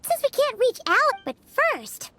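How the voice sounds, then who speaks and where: A woman voicing a small, curious, chatty childlike fairy companion in an animated video game, squeaky and full of questions and exclamations. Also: unhurried, a little hiss or hum, exaggerated voice. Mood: confused.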